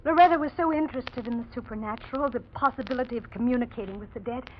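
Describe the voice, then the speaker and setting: A woman's voice: fairly high pitch, fast, slight background noise, close to an everyday voice. An actress playing an earnest, grief-stricken young woman in a dramatic 1940s radio mystery. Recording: muffled 1940s radio recording with music.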